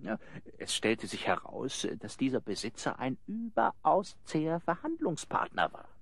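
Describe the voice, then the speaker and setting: An actor playing a boastful, smooth-talking suitor and con man, telling his tale grandly in a radio play. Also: on the high side, sing-song, normal speed, dry recording, exaggerated voice. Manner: grandiose, storytelling.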